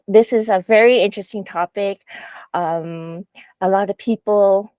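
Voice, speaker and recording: A woman moderates a casual podcast panel over a video call. She asks loose, friendly questions full of little fillers. Her voice is mellow and the sound is dry and close. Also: medium pitch, no room sound. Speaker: podcast host.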